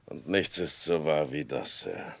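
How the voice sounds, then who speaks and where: An actor playing a weary, bedridden old carter in a 1960s radio play, halting and solemn, with a gravelly voice. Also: male voice, a bass voice, animated, a bit bright, no room sound, exaggerated voice. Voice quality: creaky, gravelly. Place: dry radio studio.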